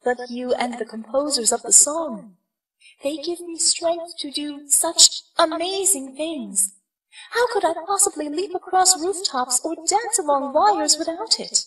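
Woman playing earnest young mystic heroine, high voice, slow solemn delivery, audio drama, a bit distant. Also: fairly bright tone. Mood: earnest, respectful. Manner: solemn, deliberate, pleading.

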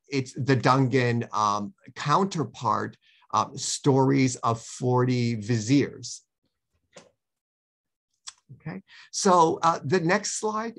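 Halting male academic with a creaky voice. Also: medium pitch, fairly bright tone. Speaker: academic presenter. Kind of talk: slide lecture over video call. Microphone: video call.

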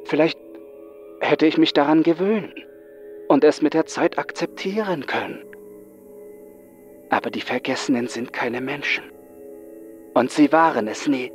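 A man voicing a frightened, bitter young psychiatric patient in a horror audiobook, speaking intensely with dramatic pauses. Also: fairly high pitch, soft background music, pitched above the performer's own voice, lightly acted. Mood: haunted.

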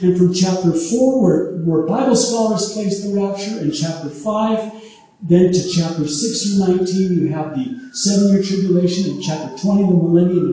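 A man preaching a sermon on prophecy whose first language is Arabic, speaking emphatically and at times rising to high exclamations. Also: dark tone. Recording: echoey hall from far off.

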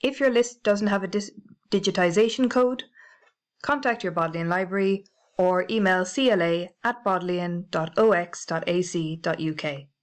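A woman with a British accent narrating a library software tutorial, clear and methodical, spelling out codes letter by letter. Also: on the low side, normal speed. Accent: British English. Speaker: library staff trainer. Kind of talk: instructional screencast narration.

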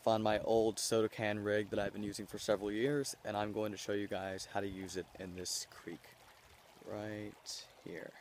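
A man's voice: lowish voice, level tone, moderate pace, slight background noise. Young man explaining by a creek. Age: young adult. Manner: plain, matter-of-fact explaining. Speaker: fishing vlogger. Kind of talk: casual how-to narration. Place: outdoors by a small country creek. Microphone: handheld camera close by. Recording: music bed under the voice.

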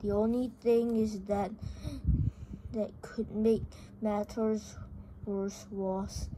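A high-voiced reader reading a comic story aloud haltingly, stumbling over words, in an amateur home recording. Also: level tone, noisy background. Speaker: video host reading a book aloud.

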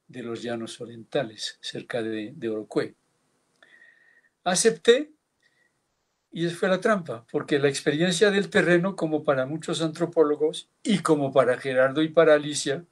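A man in his seventies from France, giving a measured, reflective tribute speech over a video call, with small hesitations. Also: a little high. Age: 70s. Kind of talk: formal reminiscence, partly read.

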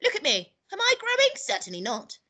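A woman's voice: very high-pitched, huge pitch swings, a little fast, bright, forceful tone, voice pushed up, exaggerated voice. A woman voicing a wicked, snappish little old grandmother in a storybook, bossy, scolding and relishing nasty things.

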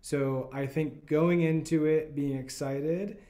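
A man in his thirties, a guest homeowner, talking in an easygoing, relaxed way in a historic parlor. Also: a little high, slow pace. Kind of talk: casual chat. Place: historic house parlor, indoors.

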